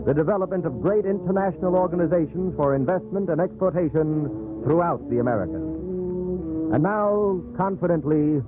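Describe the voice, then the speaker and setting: A man's voice: on the high side, lightly acted. A man giving solemn, oratorical scripted narration in 1940s radio style. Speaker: narrator of a historical radio drama. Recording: tinny 1940s radio recording with music.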